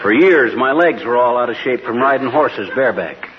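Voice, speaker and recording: A man's voice: mid-range voice, expressive, normal speed, a crowd in the background, close to an everyday voice. Man playing a blustering, boastful radio husband. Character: blustering, boastful, jealous husband, teller of tall tales. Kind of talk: 1940s radio comedy acting. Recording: muffled, very noisy 1940s radio recording.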